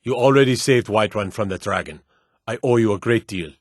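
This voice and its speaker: A man voicing a stern, loyal guard commander in a fantasy video game, with a gruff, weighty, formal delivery. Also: on the low side, brisk, no echo, studio quality, natural voice. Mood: grave. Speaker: voice actor for game guard lines.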